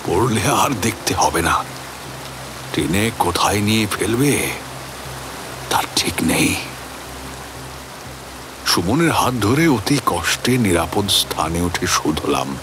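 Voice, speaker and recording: A slow, low-voiced older storyteller, eerie. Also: male voice, lively intonation, background noise. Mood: awed, suspenseful. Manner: slow, theatrical, reflective. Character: older man recounting an eerie otherworldly experience, philosophical. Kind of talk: dramatic audio story monologue. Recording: music behind the voice.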